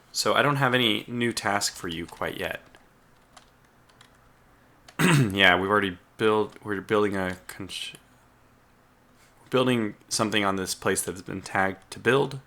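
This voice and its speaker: A man's voice: on the low side, measured pace, pauses often, bright, forceful tone. A man losing his voice, talking to himself in a casual let's play of a strategy game with keyboard typing behind him. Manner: muttering to himself while playing. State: losing his voice, clearing his throat. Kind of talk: casual gameplay commentary.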